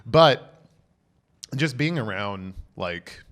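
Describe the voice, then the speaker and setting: A man's voice: on the low side, lively intonation, extremely slow, a bit bright. A man around forty, a guest comedian in a casual podcast chat, rambling with many fillers and frequent laughs. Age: 40s.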